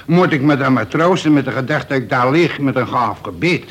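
An actor playing a wily, mock-deferential veteran convict in a Dutch radio play, reciting pedantically with bits of prison slang. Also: male voice, mid-range voice, animated, a little fast, close to an everyday voice.

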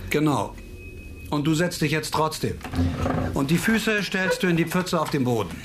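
A man playing a stubborn, wry police investigator in a radio crime drama, speaking in a deliberate, insistent and sardonic manner. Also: a little high, sing-song, noisy background. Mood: menacing, sardonic.

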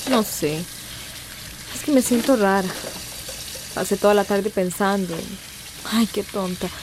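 A woman's voice: medium pitch, measured pace, noisy background, close to an everyday voice. A young woman acting in a radio drama as an earnest, affectionate daughter with a wistful, easily hurt temper.

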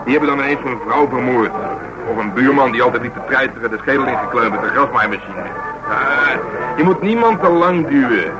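An actor playing a burly, blunt, stubborn police detective in a radio play, talking gruffly and colloquially. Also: male voice, medium pitch, animated, brisk, very noisy, close to an everyday voice. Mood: speculating, blunt. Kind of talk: naturalistic radio play dialogue.

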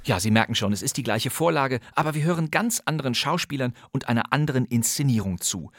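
A man's voice: medium pitch, animated, quick pace, a bit bright. A man hosting a podcast, delivering scripted narration with conversational asides and dry humour. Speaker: podcast host. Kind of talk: scripted narration about old radio crime plays.

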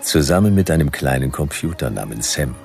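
An actor playing a laconic hardboiled detective who narrates a radio play in a dry, clipped, gravelly delivery. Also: male voice, a bass voice, lively intonation, brisk, a little mellow, soft background music, exaggerated voice. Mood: wry, watchful.

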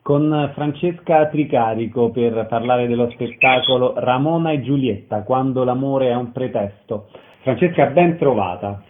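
A man's voice: medium pitch, unhurried, slight background noise. A man conducts a radio interview, putting questions to a guest. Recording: narrow phone-like radio sound.

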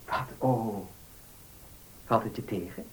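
An actor playing an earnest, proud young farmer in a radio play, husky and plain-spoken, with emotional delivery. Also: male voice, lowish voice, takes it slowly, slight background noise, rough recording. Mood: eager, courting. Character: earnest young horse-loving farmer, proud, short of money. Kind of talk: Dutch radio play acting.

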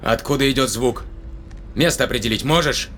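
A man plays an alarmed, commanding spaceship captain in an audio drama. His mid-pitched voice is urgent and demanding. There is some noisy ambience behind him. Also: brisk, fairly bright tone, lightly acted.

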